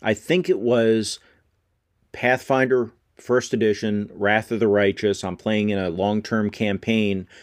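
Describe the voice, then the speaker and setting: Fifties guy hosting his podcast solo, lowish voice, dry close mic, chatty and loose. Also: fairly even intonation, a little slow, no echo. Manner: conversational, thinks aloud with small self-corrections. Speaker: podcast host.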